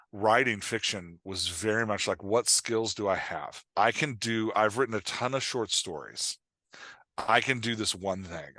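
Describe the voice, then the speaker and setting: Podcast guest: middle-aged male, lowish pitch, dry close sound, candid and self-deprecating, slips into quoted asides. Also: pushed and bright, dry recording. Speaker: podcast guest, fiction writer and dialogue coach. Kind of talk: candid, conversational interview talk.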